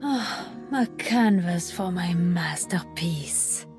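An actress playing a cool, cultured French sniper assassin in a video game, calm and haughty, with a French accent. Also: female voice, fairly low pitch, slow, soft background music. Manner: calm, haughty, seductive, emotionless. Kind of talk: video game character lines.

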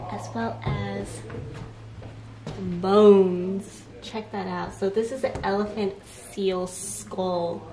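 A woman's voice: mid-range voice, slight background noise, low-quality recording. A young adult woman narrating a casual travel vlog in a chatty, enthusiastic way. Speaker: travel vlogger. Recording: prominent music behind the voice. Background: music.

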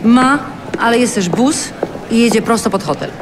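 A woman's voice: fairly high pitch, moderate pace, background noise, natural voice. An actress playing a harried, defensive mother of two in a naturalistic audio drama. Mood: firm, coaxing.

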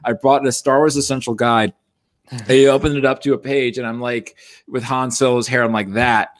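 A man in his thirties telling casual anecdotes in a self-deprecating, halting way with some swearing. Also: medium pitch, steady tone. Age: 30s. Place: indoors on a group video call.

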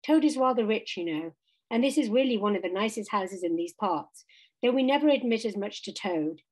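A woman's voice: medium pitch, expressive, brisk, darkish tone, close to an everyday voice, rough recording. A woman voicing a good-natured, cheerful male water rat in a storybook, chatty and emphatic. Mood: knowing.

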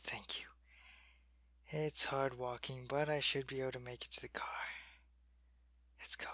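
A man playing a sick, weak male alien soldier in an ASMR roleplay. He speaks softly into a close mic and often drops to a whisper. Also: mid-range voice, little pitch movement, measured pace, dry recording. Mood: weary, gentle. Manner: soft-spoken, drifting into whispers.

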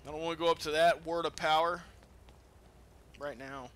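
A man giving casual let's-play game commentary in an excitable, foul-mouthed manner. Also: on the high side, normal speed. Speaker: gamer playing and commentating.

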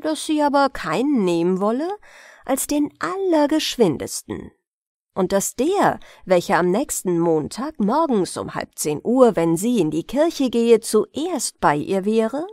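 A woman tells a bedtime fairy tale at a slow, restful pace. Her intonation rises and falls expressively as she narrates. The sound is dry and close, as in an audiobook. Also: on the high side, no room sound. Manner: expressive, unhurried storytelling for relaxation. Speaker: audiobook storyteller.

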